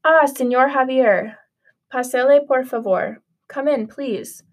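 A woman's voice: sits high, unhurried. A woman reading aloud as a pompous, scheming stepmother who slips Spanish words into her English. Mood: fawning. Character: pompous middle-aged stepmother, fawning and scheming.

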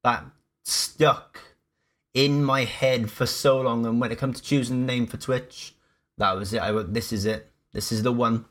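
A man's voice: mid-range voice, steady tone, a little slow, fairly bright tone. Welsh male podcast host, announcer-style energy. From Wales. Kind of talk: casual podcast chat.

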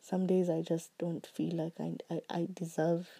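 Woman podcast host, slow earnest talk, gravelly fry, very dark mellow tone, recovering voice. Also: fairly low pitch, little pitch movement. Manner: slow, heartfelt and earnest, with soft trailing phrases. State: recovering voice after illness. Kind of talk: reflective solo podcast monologue.

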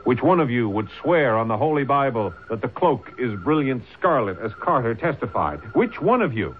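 An actor playing an earnest, shrewd colonial defense lawyer, courteous but insistent, in an old-time radio drama. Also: male voice, medium pitch, very animated, normal speed, lightly acted. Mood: dramatic, oratorical. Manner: hesitant fillers turning to oratorical questioning. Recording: phone-like old radio recording, faint hiss.

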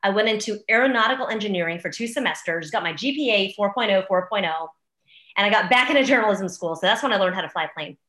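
Middle-aged female interview guest, bright voice, animated casual storyteller, echoey room recording. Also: medium pitch, fast talker. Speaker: podcast guest, real estate agent and former news anchor. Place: room in a new house.

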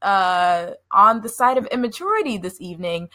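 A woman's voice: mid-range voice, animated, normal speed, pushed and bright. A woman in her thirties co-hosting a podcast, upbeat and laughing often in casual chat. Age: 30s. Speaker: podcast co-host.

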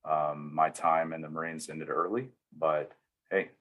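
A man, speaking plainly as an interview guest, with frequent pauses and fillers. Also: a bass voice, steady tone, phone-quality sound. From East Tennessee, US. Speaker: interview guest, church security veteran. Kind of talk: casual storytelling interview answers.

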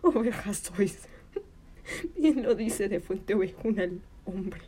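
A woman performing a classical Spanish verse monologue as a wronged, defiant young village woman, declaiming slowly and with anguish in a breathy, husky voice. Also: medium pitch, a crowd in the background, natural voice. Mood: scornful, bitter. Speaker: drama student actor.

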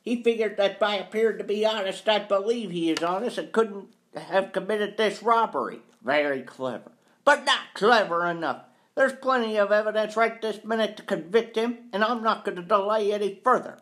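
A man's voice: high voice, normal speed, voice pushed up. A man voicing a blustering, accusing male employer in a storybook, with a harsh, sneering delivery. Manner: sneering, forceful, badgering.

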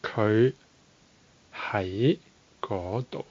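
A man teaching a podcast language lesson, speaking slowly and deliberately and repeating phrases for learners. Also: on the low side, darkish tone, slightly rough, muffled recording.

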